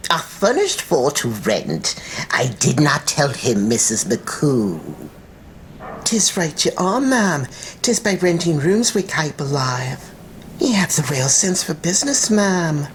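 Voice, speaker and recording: Woman playing a lilting landlady, very animated. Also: low-pitched, slow pace, slight background noise, full character voice. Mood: gossipy, conspiratorial. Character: Irish landlady housekeepers, gossiping, businesslike, flattering older women. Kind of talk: dramatized reading of a classic short story.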